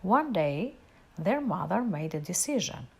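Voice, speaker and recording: A woman storyteller reading a picture book aloud to children in an expressive, deliberate way. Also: fairly low pitch, vocal fry, breathy edge.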